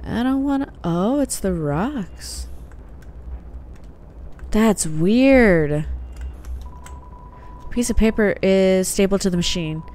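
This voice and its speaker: A young woman commenting casually and excitedly as she plays a game, often laughing. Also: medium pitch, takes it slowly, pauses often. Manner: giggly, excitable. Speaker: gaming youtuber. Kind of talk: casual let's play commentary. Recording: game music faint underneath.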